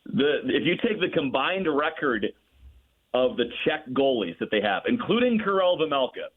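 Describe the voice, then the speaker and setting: A man's voice: medium pitch, sing-song, moderate pace. Over a thin, phone-like connection comes a man with a mid-range voice. He is a sports broadcaster giving enthusiastic analysis in a loose, conversational style. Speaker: radio play-by-play broadcaster as guest. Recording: narrow muffled phone-like line.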